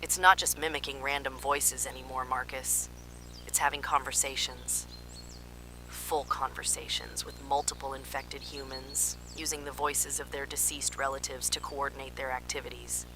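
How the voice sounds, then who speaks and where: A woman's voice: rumbling low, flat delivery, moderate pace, background noise. A woman playing an alarmed park scientist, giving urgent, clinical field reports in an analog horror drama. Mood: grim, disturbed. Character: alarmed female park scientist transmitting desperate emergency warnings.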